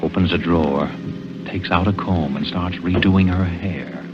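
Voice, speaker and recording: A dull vintage radio recording with music behind a suspense narrator. His very deep voice moves slowly and solemnly, each phrase deliberate, in 1940s thriller style. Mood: suspenseful. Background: dramatic music.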